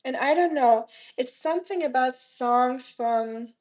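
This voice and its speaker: Streamer: woman, German accent, native German speaker, rambling, chatty, fairly high pitched. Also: a little mellow, rough recording. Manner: rambling and chatty, quick asides, teasing. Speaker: livestreamer. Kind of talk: casual livestream chat answering viewers.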